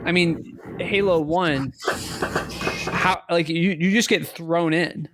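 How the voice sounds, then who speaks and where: A man's voice: on the high side, steady tone, takes it slowly, noisy background. A man co-hosting a podcast, talking casually in a rambling, emphatic way and repeating himself for stress. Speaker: podcast co-host. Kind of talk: casual conversational video game chat.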